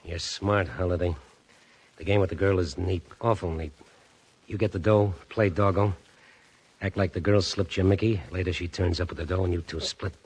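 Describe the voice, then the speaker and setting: A man's voice: deep voice, steady tone, moderate pace, a little mellow, husky, rough recording. An actor playing a sardonic, menacing gangster henchman in a 1940s radio crime drama, talking in curt, slangy threats. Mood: suspicious, threatening. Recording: vintage 1940s radio recording.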